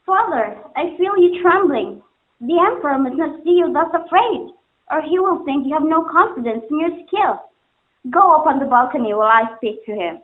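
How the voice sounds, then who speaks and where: Woman acting a high, lilting young daughter. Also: expressive, normal speed. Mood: pleading, resolute. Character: devoted, innocent young daughter, a proud singing girl. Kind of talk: amateur stage-play dialogue, formal poetic English. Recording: muffled, phone-like narrowband sound.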